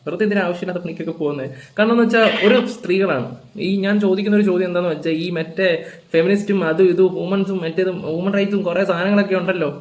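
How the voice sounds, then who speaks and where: A man's voice: sits high, racing. A man giving an informal, indignant rant, lecturing with rhetorical questions. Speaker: reaction video commentator. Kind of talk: informal ranting commentary. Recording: echoey room.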